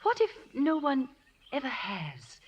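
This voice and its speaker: In an old radio drama recording, a prim, pious woman missionary. Her high voice moves expressively up and down, with formal diction and a stern, brave determination. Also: wildly swooping pitch, slow, husky, muffled recording, exaggerated voice. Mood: soft, shy. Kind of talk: classic radio drama acting. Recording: restored old radio broadcast.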